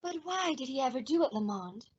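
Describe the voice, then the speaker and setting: A woman's voice: high voice, animated, brisk, a little mellow, slightly rough, muffled recording. A woman playing an anxious young female companion in old-time radio drama, with a melodramatic delivery. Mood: calm, curious. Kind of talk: 1940s radio drama acting.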